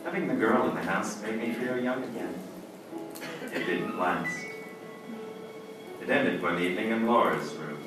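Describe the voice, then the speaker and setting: A man playing an infatuated middle-aged judge in an old-time radio drama, wistful and evasive, speaking in a measured, formal, confessional way. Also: fairly low pitch, natural voice. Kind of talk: old-time radio drama acting and first-person narration. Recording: echoey, far-off stage recording with music.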